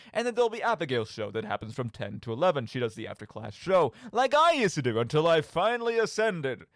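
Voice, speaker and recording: Animated young man hosting a radio show. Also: medium pitch, no room sound, clean studio sound. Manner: playful, mock-dramatic, tongue-in-cheek. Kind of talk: casual, rambling solo radio show. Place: radio studio. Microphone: studio microphone.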